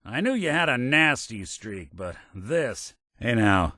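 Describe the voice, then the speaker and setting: A man's voice: mid-range voice, big pitch swings, takes it slowly, bright, forceful tone, dry recording, studio quality, heavily acted. An actor voicing a world-weary male synth detective in a video game, a robot carrying an old cop's memories, talking in a hard-boiled drawl. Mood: angry. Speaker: companion character.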